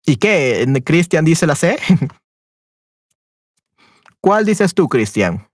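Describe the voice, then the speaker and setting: Male online language teacher, higher voice, close dead-dry mic, repeats "yes, definitely" style affirmations. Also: sing-song, drawn-out words, no room sound. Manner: switches between Spanish and English, repeats affirmations. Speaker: Spanish teacher. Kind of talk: online group class, reading and quizzing. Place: online class, talking to students.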